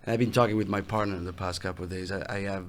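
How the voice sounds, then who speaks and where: A young adult man from Italy with an Italian accent, a guest musician talking casually in an interview, relaxed and halting as he restarts his phrases. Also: low voice.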